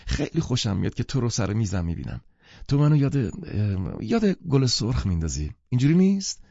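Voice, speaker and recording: A man's voice: on the low side, sing-song, creaky, dark tone, husky, no echo, full character voice. A man voicing a charming, flighty young woman who talks in a breathless, gushing singsong, in a dull lo-fi recording.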